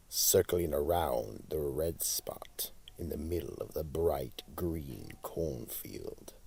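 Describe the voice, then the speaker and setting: A man's voice: very deep voice, creaky, a little mellow, breathy edge. A man narrating and announcing a scripted radio horror drama with dramatic, ominous storytelling.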